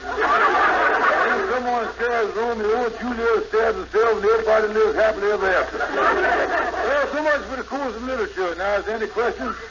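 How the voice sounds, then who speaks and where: A man's voice: high voice, normal speed, close to an everyday voice. An actor playing a scheming, pompous middle-aged con man who mangles grand words in 1950s radio comedy style. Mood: blustery. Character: scheming blustery middle-aged male con man giving bogus lessons. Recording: noisy, muffled 1950s radio recording.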